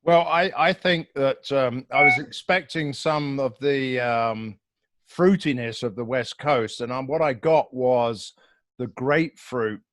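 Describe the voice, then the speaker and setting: A man's voice: mid-range voice, slow, fairly bright tone, no room sound. A British man hosting a casual video-call happy hour, chatty and jokey, with frequent fillers and restarts. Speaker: host.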